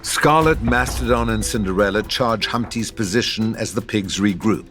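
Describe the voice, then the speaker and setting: A male narrator reads stage directions from a screenplay. His voice sits fairly low, and music plays clearly underneath the scripted narration. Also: normal speed, a bit bright.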